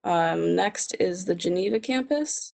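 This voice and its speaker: Young woman with heavy vocal fry. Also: fairly low pitch, measured pace, mellow. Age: young adult. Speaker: student presenter. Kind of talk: slide presentation.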